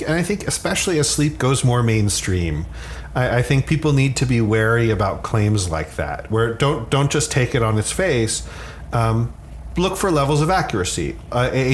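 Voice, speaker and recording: A man's voice: medium pitch, slight background noise. A man explaining science conversationally as an expert guest, candid, often repeating words as he starts a thought.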